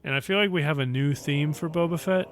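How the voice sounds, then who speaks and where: A man's voice: fairly high pitch, quick pace, faint music behind. A man co-hosting a casual podcast, with a dry, laid-back humor. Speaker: podcast co-host. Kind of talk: casual chat.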